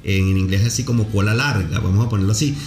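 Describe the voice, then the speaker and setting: A man's voice: fairly low pitch, quick pace, darkish tone. A man hosting a podcast interview, talking at length in an effusive way and explaining marketing terms to listeners. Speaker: podcast host. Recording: echoey room with faint music.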